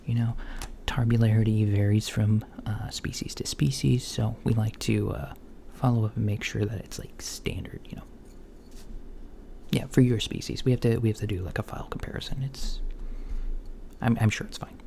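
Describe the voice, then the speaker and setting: A man doing a soft-spoken, partly whispered ASMR roleplay as a calm, reassuring doctor, murmuring hesitantly with long pauses. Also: fairly low pitch, creaky. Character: calm reassuring male alien doctor at a checkup. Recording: lo-fi with faint background noise.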